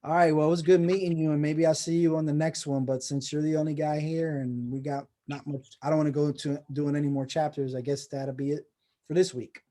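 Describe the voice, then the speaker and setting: A man hosting a relaxed online session, speaking on a video call. His voice sits a bit high, yet the tone stays dark and mellow. He rambles along warmly, restarting his sentences as he encourages his guest. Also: fairly quick. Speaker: host of a personal branding course. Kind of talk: casual video call chat.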